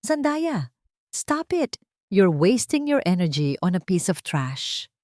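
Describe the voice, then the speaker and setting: A woman's voice: mid-range voice, sing-song, takes it slowly, a little mellow, no room sound. Audio drama role: arrogant wealthy businessman done by a woman storyteller, cold, clipped orders, very dry sound. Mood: coldly irritated. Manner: cold, curt, commanding. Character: cold arrogant rich businessman, grown son.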